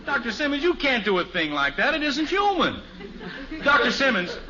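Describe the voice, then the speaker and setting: An actor playing a wry suburban father in an old-time radio family sitcom, excitable and easily exasperated. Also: very high voice, normal speed, a crowd in the background. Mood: flustered. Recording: muffled old radio recording, faint hiss.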